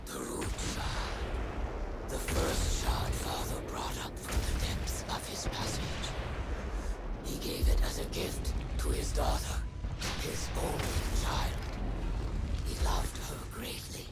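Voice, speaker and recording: Ancient croaking alien seer, slow and solemn. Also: male voice, medium pitch, sing-song, heavily acted. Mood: solemn, reverent. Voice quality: croaky, breathy, rasping. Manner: halting broken English in short solemn phrases. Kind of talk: video game cutscene acting. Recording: music under the voice.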